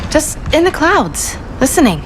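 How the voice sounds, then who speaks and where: A woman's voice: high voice, big pitch swings, a little slow, background noise. A woman playing a restless, idealistic young alien superhero in a video game, earnest and frustrated. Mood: frustrated, pleading. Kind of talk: video game cutscene acting.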